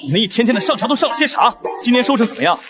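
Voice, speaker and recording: A young man playing a sarcastic, argumentative commoner who lectures his betters in an animated web drama. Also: very high voice, racing, creaky, breathy edge, heavily acted. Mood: sarcastic, challenging.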